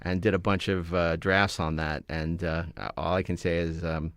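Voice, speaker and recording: Male podcast host, middle-aged, slightly low voice, close dry sound, wry self-deprecating film talk. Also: little pitch movement, normal speed, no room sound. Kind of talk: casual film chat.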